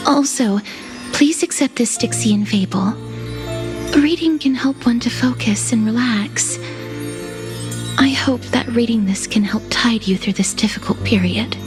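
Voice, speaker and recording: A woman voicing a gentle, earnest young healer in a fantasy video game, softly and politely, with tender reassurance. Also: a little high, a little slow, lightly acted. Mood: tender, reassuring. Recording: music under the voice.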